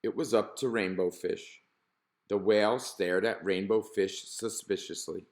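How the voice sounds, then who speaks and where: A man's voice: fairly low pitch, fairly even intonation, unhurried, darkish tone. A man narrating a children's picture book as a read-aloud. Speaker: storybook reader.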